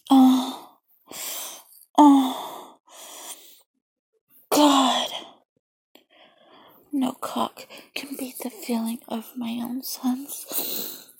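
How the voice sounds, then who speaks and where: A young woman voicing a doting, possessive mother figure in a whispered adult audio roleplay, speaking softly and breathily close to the mic. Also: high-pitched, level tone, very slow, vocal fry, long pauses, slightly rough, no echo, exaggerated voice. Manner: soft, intimate, often whispering. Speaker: voice performer.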